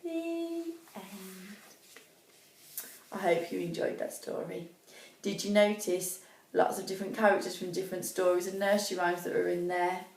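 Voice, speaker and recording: A woman reading a children's story as expressive, deliberate narration, pausing to put questions to young listeners. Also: medium pitch. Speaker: primary school story reader. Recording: echoey room, distant mic.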